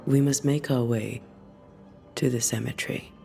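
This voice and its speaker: A composed woman ghost hunter speaks in measured, matter-of-fact phrases. Her voice has plenty of gravelly fry, over faint music. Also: very deep voice, steady tone, mellow. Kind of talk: dramatic game acting.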